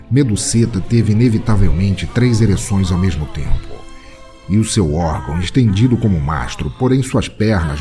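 A man's voice: sits low, a little mellow. A man delivering scripted narration as the storyteller of an audio drama.

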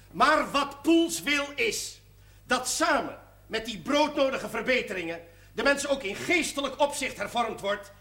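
An actor in a television period drama playing a learned, admonishing parish priest who lectures formally. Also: male voice, very high voice, bright, forceful tone, heavily acted. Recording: noisy old television soundtrack.

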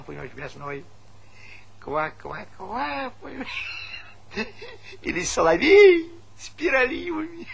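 A creaky-voiced old countryman in a radio-style stage drama, earnest and folksy, passionately dreaming aloud. He speaks slowly and haltingly, swinging widely in pitch, on a noisy vintage recording. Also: fairly bright tone, exaggerated voice. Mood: wistful, tender. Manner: folksy halting delivery full of verbal tics. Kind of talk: theatrical stage-play monologue.

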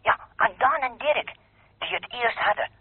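A man's voice: extremely high pitch, lively intonation, measured pace, breathy edge, dry recording, exaggerated voice. A man playing a nervous, hesitant, evasive petty crook in a radio drama. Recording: tinny telephone-like old radio recording.

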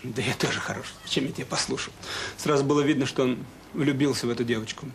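A man's voice: mid-range voice, steady tone, creaky, close to an everyday voice. Male actor doing worried guilty middle-aged father, stumbles over words, earnest, old radio play, slightly noisy. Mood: bitter regret. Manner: hesitant, halting, earnest. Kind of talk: Soviet radio drama acting.

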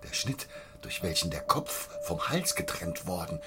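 An actor in a radio play voicing an obsessive small-town butcher, insistent and conspiratorial, dwelling on grim details. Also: male voice, sits low, big pitch swings, a little slow, over loud music, heavily acted. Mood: conspiratorial, gloating. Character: obsessive, gruesome small-town butcher spreading hateful rumours. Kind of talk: radio drama acting.

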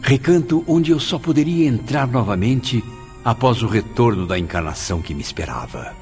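A man's voice: mid-range voice, expressive, moderate pace, a little mellow, faint music behind, close to an everyday voice. An actor playing a grateful, solemn male spirit in a radio drama, speaking in a florid, declamatory way. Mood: awed, solemn. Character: Camilo, grateful, solemn male spirit recalling his past.

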